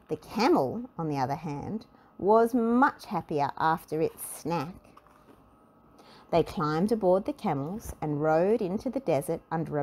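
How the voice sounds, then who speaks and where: A woman reading a children's storybook aloud in an expressive, deliberate way. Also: lowish voice. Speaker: storybook reader. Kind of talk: scripted children's storybook narration.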